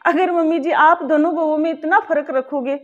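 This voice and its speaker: A tired elder daughter-in-law, acted by a woman in a domestic skit, pleading and aggrieved about unfair treatment. High voice, muffled lo-fi sound. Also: fairly even intonation, a little fast, lightly acted. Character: weary elder daughter-in-law, overworked housewife. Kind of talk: family skit acting. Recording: dull lo-fi recording.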